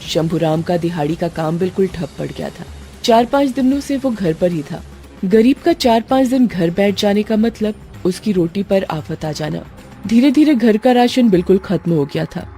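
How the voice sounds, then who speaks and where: A woman's voice: medium pitch, expressive, moderate pace, mellow. A woman reading a scripted story as a narrator, in a storytelling style. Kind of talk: scripted narration of a Hindi moral horror story. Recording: music under the voice.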